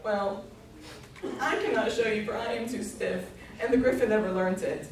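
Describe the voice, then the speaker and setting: A woman's voice: a little high, moderate pace, close to an everyday voice. A woman in readers' theatre playing a sorrowful, easily offended Mock Turtle with a ponderous manner. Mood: wistful. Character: sorrowful, huffy old Mock Turtle telling schooldays. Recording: echoey noisy room from far off.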